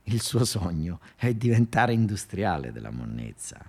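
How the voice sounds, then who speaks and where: A man with a southern Italian accent performing a satirical monologue, colloquial and emphatic, with dramatic pauses. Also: low voice, big pitch swings. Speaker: actor-narrator. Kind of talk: satirical theatrical monologue, storytelling.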